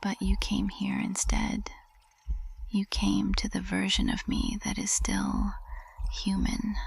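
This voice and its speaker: A woman performs a flustered witch professor whose cool composure is melting into love. Her whisper is very breathy and husky, with a heavy crackle of fry at the edges. She speaks slowly and haltingly, in the tender, intimate manner of an ASMR roleplay. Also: mid-range voice, completely flat delivery, full character voice. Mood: yearning, confessing.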